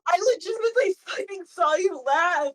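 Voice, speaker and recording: A woman's voice: very high-pitched, a little fast. A young woman co-hosting a podcast over a voice call, casual and crude, swearing often and giggling. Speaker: podcast co-host. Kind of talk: casual chat. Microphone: computer mic over a voice call.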